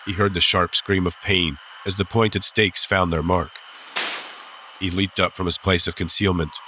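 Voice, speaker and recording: A man narrating a dramatized audiobook with suspenseful, deliberate phrasing. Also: low voice, little pitch movement. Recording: clear background ambience noise.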